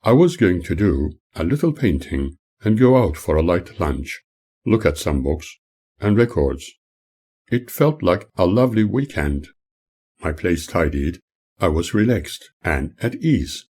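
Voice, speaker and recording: An older man, the author, reading his own memoir aloud as audiobook narration in a measured, formal manner. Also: deep voice, very animated, dark tone, husky.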